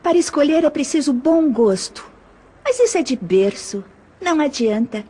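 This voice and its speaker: A woman's voice: high voice, expressive, moderate pace, mellow. A woman voicing a snobbish, dismissive young sister in an audio drama, with a Brazilian accent. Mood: haughty. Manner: haughty, dismissive. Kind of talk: Portuguese-language audio drama acting.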